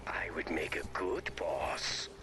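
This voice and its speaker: A man's voice: rumbling low, animated, slow, background noise, heavily acted. A man voicing an eccentric, pompous creature made of briny chunks, in a theatrical game-character style. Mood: tempted, suspicious. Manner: theatrical, dramatic delivery. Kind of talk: video game character voice acting. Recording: music behind the voice.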